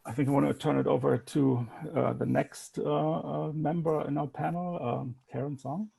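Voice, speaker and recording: A man with a German accent, an architect giving a halting panel talk on a video call, with many fillers and restarts. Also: a little high, fairly even intonation, vocal fry, warm tone, slightly rough. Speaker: graduate director, architect. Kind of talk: panel presentation.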